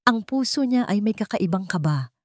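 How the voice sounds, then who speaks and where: A woman's voice: medium pitch, lively intonation, normal speed, darkish tone, dry recording, clean studio sound. A woman narrator reading a dramatic scripted love story. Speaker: narrator of Tagalog love stories.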